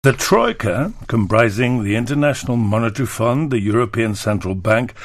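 A man's voice: mid-range voice, lively intonation, normal speed. Male radio newsreader, mid-range voice, scripted report delivery, no echo. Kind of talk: scripted news reading.

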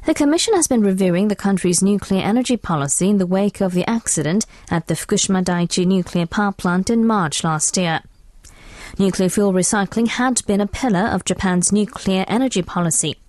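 A woman's voice: medium pitch, fairly quick, a little mellow, no echo, clean studio sound. A woman presenting scripted international news on the radio.

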